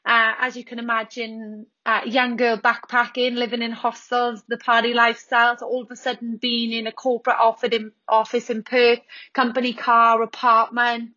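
A Welsh woman in her thirties chats as a podcast guest, pausing on ums and restarting her sentences. Her voice sits high, bright and crisp, but stays fairly level in pitch. The recording sounds a little dull and lo-fi. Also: completely flat delivery. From Wales. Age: 30s. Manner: chatty, with frequent ums and restarts. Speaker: podcast guest, business founder. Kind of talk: casual interview chat.

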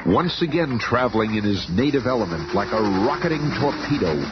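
A man's voice: medium pitch, big pitch swings, brisk. A man narrating a radio serial from a script in a grand, theatrical, dramatic manner. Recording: muffled, noisy old radio recording.

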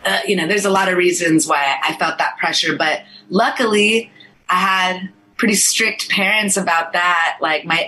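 A woman's voice: lowish voice, sharp tone, slight background noise, poor audio. A young American woman, an actor whose first language was Farsi, chatting casually and rambling in an interview. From United States. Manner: chatty, rambling, says "like" a lot. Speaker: actor, guest.

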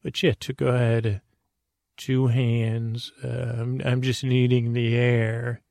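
A man with a creaky voice hosting a bedtime podcast, delivering a halting, meandering, goofy comic monologue. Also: mid-range voice, completely flat delivery, darkish tone, no echo, studio quality. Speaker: podcast host.

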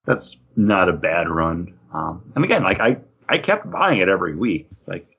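A man with a fairly low, rough-edged voice talks casually as a podcast co-host. His delivery is conversational, like chat among fellow comic fans, and the recording is somewhat dull and lo-fi. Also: sing-song, normal speed, sharp tone.

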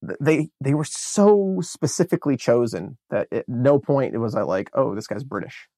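A man's voice: mid-range voice, animated, normal speed, dark tone, no room sound, studio quality. An American man co-hosting a podcast, chatting casually about a film with enthusiasm and plenty of fillers and restarts. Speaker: podcast co-host. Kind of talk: casual movie review chat.

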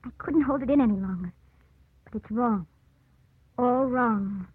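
A woman's voice: fairly high pitch, a little slow, breathy edge, no echo. An actress playing an earnest young woman torn by forbidden love, intense in the manner of old radio drama. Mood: anguished, resolute. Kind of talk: 1940s-50s radio drama acting. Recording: muffled old radio broadcast.

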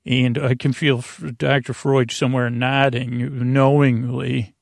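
A man hosting a bedtime podcast in a creaky, lulling voice, meandering and halting through tangents and self-interruptions. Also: mid-range voice, level tone, no echo, studio quality. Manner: soothing, lulling. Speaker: podcast host. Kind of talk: rambling bedtime monologue to help listeners sleep.

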